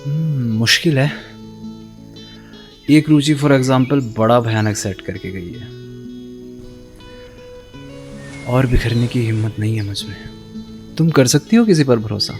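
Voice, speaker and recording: A wry young man nursing an old heartbreak, as an actor plays him, teasing lightly and turning wistful, with a steady mid-range voice. Soft music sits under his lines in this studio radio play. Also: mid-range voice, a little slow, lightly acted.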